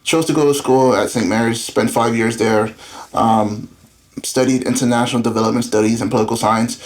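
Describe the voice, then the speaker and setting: A man's voice: mid-range voice, steady tone, a little fast. A man in his thirties who grew up in Florida, a guest telling his story in candid, rambling casual talk with many fillers. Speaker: guest entrepreneur. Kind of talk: casual interview chat.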